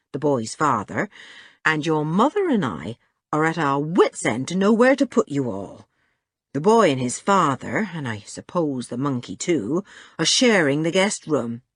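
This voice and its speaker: Woman voicing a homely, husky household cook. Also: low voice, big pitch swings, normal speed, dry recording, clean studio sound, heavily acted. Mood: explaining. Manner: homely, chatty, gently scolding. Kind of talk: children's audiobook character voice.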